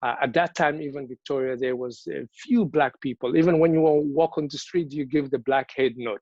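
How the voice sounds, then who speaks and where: A man from Somalia gives an earnest talk at a virtual event, telling his story with many restarts and repeated words. His voice sits at an ordinary middle pitch. The audio comes over a video call, dry and a little muffled. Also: a little fast, darkish tone, no room sound. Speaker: invited speaker. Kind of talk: personal speech at a virtual event.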